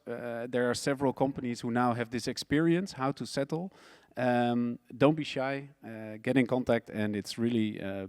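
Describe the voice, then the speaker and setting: A man giving remarks as a panelist, pausing hesitantly between phrases. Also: medium pitch, darkish tone, no room sound, studio quality. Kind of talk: live panel discussion.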